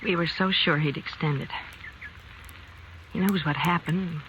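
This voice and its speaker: An actress playing a worried frontier farm wife in a 1950s radio drama, earnest and halting. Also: female voice, low-pitched. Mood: anxious. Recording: muffled old broadcast with loud hiss.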